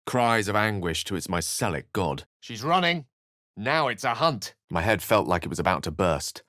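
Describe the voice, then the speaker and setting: Low-voiced, eloquent wizard. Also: male voice, big pitch swings, normal speed, pushed and bright, no room sound, clean studio sound. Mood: urgent, incantatory. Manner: articulate, erudite, theatrical. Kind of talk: video game character acting and narration.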